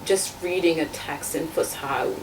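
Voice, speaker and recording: A woman's voice: low-pitched, a little slow. A woman from Tunisia, born in France, answering interview questions about her assembly work, hesitant with many fillers and restarts. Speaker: constituent assembly member, guest. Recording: distant mic, noisy room ambience.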